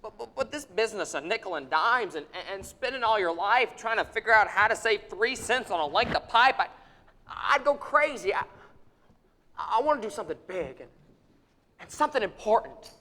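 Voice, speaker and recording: A man's voice: high voice, expressive, normal speed, bright, forceful tone, exaggerated voice. A young man playing a restless, eager young man who stammers through nervous restarts, in a live stage radio play. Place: theater stage, live audience. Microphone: standing stage mic. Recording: long hall reverb of a theater.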